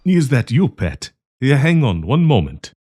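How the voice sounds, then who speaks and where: A man's voice: medium pitch, sing-song, noisy background. A man playing a kindly old professor with a hidden possessive menace, courtly and teasing in an intimate audio roleplay. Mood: teasing, fond. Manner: courtly, teasing, chuckling, deliberate.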